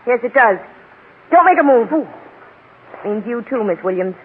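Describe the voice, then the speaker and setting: An American actress playing a cold, bossy murder accomplice in sharp old radio crime drama style, on an old recording. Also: female voice, on the high side, animated, moderate pace, narrow, tinny audio, a little hiss or hum, lightly acted. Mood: cold, threatening. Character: cold, commanding female murder accomplice with a gun.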